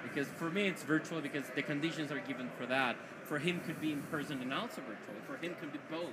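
A man's voice: a little high, quick pace. Man, hesitant, conference panel, noisy stream. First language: Spanish. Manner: hesitant, searching for words. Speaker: panel guest. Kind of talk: casual panel chat.

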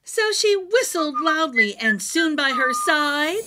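A middle-aged woman hosting a children's read-aloud, telling a rhyming storybook in an animated, sing-song way over music. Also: high-pitched, takes it slowly, noisy background. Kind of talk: expressive rhyming storybook narration. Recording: music behind the voice.

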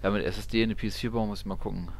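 A man's voice: fairly low pitch, fairly even intonation, very fast, faint music behind. A man giving casual, slangy live commentary while gaming. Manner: colloquial, slangy. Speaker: gaming streamer. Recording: clear background game noise.

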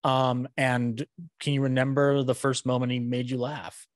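A man's voice: mid-range voice, little pitch movement, moderate pace, no room sound. A man in his thirties casually chatting as he hosts an interview, close on a studio microphone. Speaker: podcast host, interviewer. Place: small room indoors, video call. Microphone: close studio microphone with headset.

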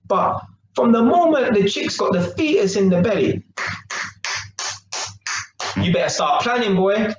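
A man, talking to camera in a small kitchen. He speaks in a high, very animated voice and keeps dropping slang and "yeah?" to check you're with him. The room sounds echoey, with a faint hiss behind him. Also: unhurried, pauses often, muffled recording, over music. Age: 20s. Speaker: vlogger. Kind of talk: casual monologue to camera. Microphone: webcam at arm's length.

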